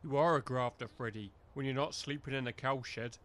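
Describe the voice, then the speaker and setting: A man's voice: mid-range voice, fairly even intonation, normal speed, a bit bright, close to an everyday voice. A man playing a weary, wary village working man who grumbles in rustic dialect, in a comedy audio drama. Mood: grudging.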